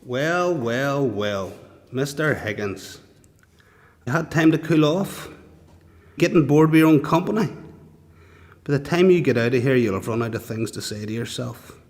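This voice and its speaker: An actor with a Northern Irish accent playing a sneering, domineering prison authority in a radio play, condescending and sarcastic. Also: male voice, mid-range voice, close to an everyday voice. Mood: sneering, menacing. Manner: slow-burning sarcasm, condescending. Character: sneering, domineering male prison authority, taunting and world-weary.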